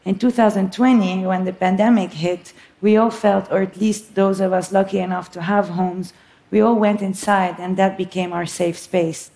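A woman in her thirties from Lebanon, a filmmaker giving an earnest, reflective talk on stage with soft pauses. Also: mid-range voice, fairly even intonation.

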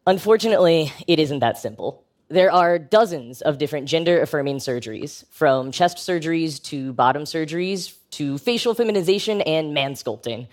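A man's voice: on the high side, animated, moderate pace, hardly any pauses. An American man delivering a prepared live talk in a conversational, wry and emphatic way, as a public speaker with a humorous, educational bent.

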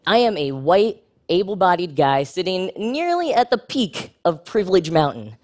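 A man giving a prepared public talk with a wry, frank, humorous delivery and lively jumps in pitch for emphasis. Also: moderate pace.

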